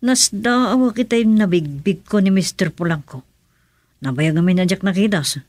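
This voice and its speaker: Male actor, loyal respectful underling, mid pitch, dry close mic. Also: moderate pace, a little mellow, no echo, lightly acted. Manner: respectful, answers with sir. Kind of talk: radio drama acting.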